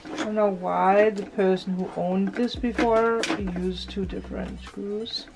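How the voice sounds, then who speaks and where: Slow-talking woman vlogging a home fix-up. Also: medium pitch, fairly even intonation, gravelly, noisy background. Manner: thinking aloud while fitting drawer knobs. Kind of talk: casual DIY vlog talk. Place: indoors at home.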